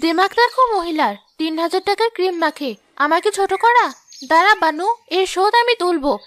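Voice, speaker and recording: A woman's voice: very high-pitched, animated, a little fast, fairly bright tone, a little hiss or hum. A woman voicing a vain, melodramatic young village wife in a cartoon moral story, complaining in a whiny, dramatic way. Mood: vengeful, envious.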